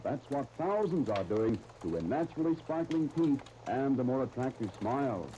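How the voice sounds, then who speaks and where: A man's voice: medium pitch, sing-song, normal speed, dark tone. An American man announcing in a scripted 1930s radio style, as for a serial and its sponsor. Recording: noisy 1930s radio recording.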